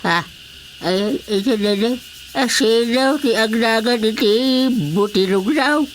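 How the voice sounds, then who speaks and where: Muddled, cackling old-fashioned servant for radio farce: high-pitched, extremely drawn-out delivery, giggly and forgetful. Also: female voice, a little hiss or hum. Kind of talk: Ilocano comedy radio drama acting.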